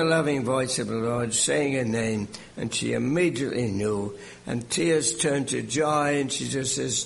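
Older male preacher, English-Canadian accent; high, gravelly, exclamatory storyteller. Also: slight background noise. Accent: English mixed with Canadian. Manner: animated, exclamatory storytelling with repeated words. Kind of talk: sermon.

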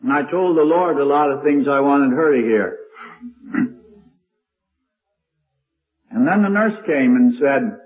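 A man's voice: medium pitch, expressive, slow pace, pauses often. An older man preaching with a strong, carrying voice, telling stories in an old-time sermon. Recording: tinny lo-fi archival recording.

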